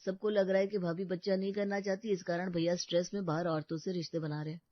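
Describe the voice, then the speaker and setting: A woman's voice: lowish voice, little pitch movement, quick pace, no room sound, close to an everyday voice. A woman doing a sensible younger husband. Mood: earnest. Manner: reasoning, teasing at times. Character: sensible younger husband, grown man, level-headed. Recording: dull lo-fi dry recording.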